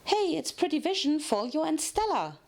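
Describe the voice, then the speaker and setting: A woman voicing a hyper, bubbly young mare with a perky, excitable, chattering manner in a cartoon fanfiction reading. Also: sits high, moderate pace, vocal fry, voice pushed up, full character voice. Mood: excited.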